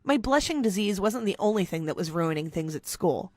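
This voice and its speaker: A woman narrating a scripted first-person teen story in an expressive, theatrical way. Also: medium pitch, fairly quick, dry recording.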